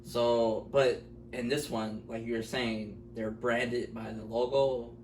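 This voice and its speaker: A man talking off the cuff as co-host of a film review, blunt and incredulous, often halting mid-thought. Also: mid-range voice, flat delivery, darkish tone. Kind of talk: casual, unscripted film review chat. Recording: echoey room, distant mic, faint noise.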